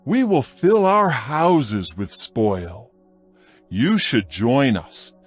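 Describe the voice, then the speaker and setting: A man narrating scripture as a dramatized audiobook, solemn and deliberate, with emphatic delivery. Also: medium pitch.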